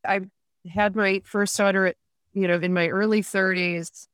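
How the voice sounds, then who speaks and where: A woman's voice: mid-range voice, fairly even intonation, moderate pace, no echo, clean studio sound. An American woman in her fifties talking candidly and reflectively about herself as a guest in a conversational interview. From United States, upstate New York. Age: 50s. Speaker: podcast guest, writer and podcaster.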